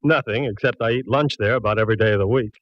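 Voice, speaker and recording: An actor playing a genial, teasing homicide inspector in a 1940s radio detective drama, joshing and slangy. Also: male voice, lowish voice, fast talker, close to an everyday voice. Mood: easygoing. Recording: muffled, dry 1940s radio recording.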